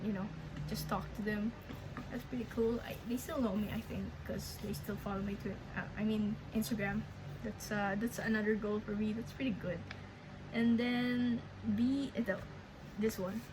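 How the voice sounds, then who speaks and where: A young woman with a Filipino accent speaks at a middling pitch, her first language being Filipino. She talks slowly and rambles, stopping and restarting often. A steady noise runs clearly behind her voice. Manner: slow, rambling, hesitant with many restarts. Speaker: language learning vlogger. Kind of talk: casual update vlog.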